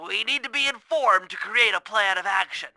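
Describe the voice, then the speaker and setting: A man's voice: high voice, normal speed, bright, forceful tone, dry recording, full character voice, low-quality recording. A male actor playing a nerdy, anxious young turtle tech genius in a video game, with a nasal voice and fussy, precise delivery. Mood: earnest, instructive.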